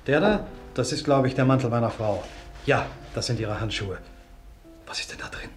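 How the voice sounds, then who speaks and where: A man's voice: mid-range voice, moderate pace, a little hiss or hum, natural voice. An actor playing an uneasy, flustered guesthouse owner who hesitates as he talks in a 1950s radio mystery. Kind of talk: 1950s German-language radio mystery acting. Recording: 1950s radio recording with faint music.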